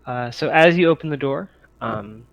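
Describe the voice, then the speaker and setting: A man narrating a tabletop game as game master, describing scenes in a halting way. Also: medium pitch, dull audio, slight background noise.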